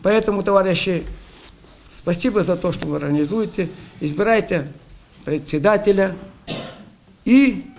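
A man's voice: high-pitched, normal speed, creaky, long pauses, darkish tone, slight background noise. An elderly man in his seventies giving an emphatic congratulatory speech to comrades. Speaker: veterans' organisation speaker. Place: meeting hall beside a lectern.

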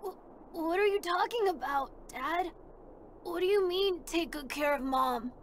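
A woman voicing an earnest, excitable young boy in an anime game dub, with a childlike, emphatic manner. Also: extremely high pitch, unhurried, a little hiss or hum. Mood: worried, defiant.

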